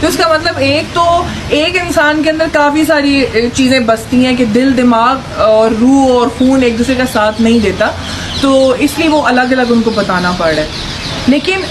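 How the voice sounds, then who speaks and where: A woman with a fairly high voice delivers sarcastic, scolding political commentary straight to her viewers. Her voice rings in an echoey room, and steady noise hangs under the recording. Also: unhurried. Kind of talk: opinion vlog.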